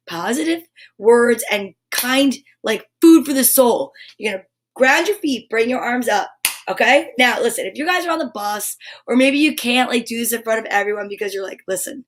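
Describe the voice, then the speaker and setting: Exuberant high-pitched woman hosting kids' story time. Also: animated, normal speed. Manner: exuberant, emphatic, informal, encouraging. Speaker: host of a children's live story show. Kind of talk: upbeat live chat to viewers, reading picture books aloud.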